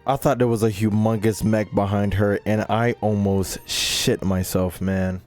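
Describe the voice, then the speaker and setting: A man's voice: on the low side, little pitch movement, unhurried, darkish tone. A young man doing casual livestream reactions to a game, joking in slang and repeating phrases for effect. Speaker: game streamer. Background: game music.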